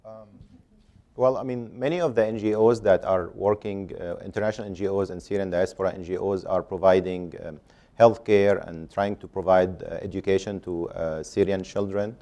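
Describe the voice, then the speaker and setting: A man on a panel answering audience questions, speaking haltingly with many fillers and restarts. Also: low-pitched, steady tone, warm tone.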